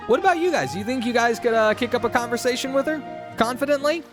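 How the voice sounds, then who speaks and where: A male gaming streamer with a high voice, chatting casually over a playthrough. He is self-deprecating and reactive, with faint music behind him. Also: lively intonation, fast. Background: faint game music.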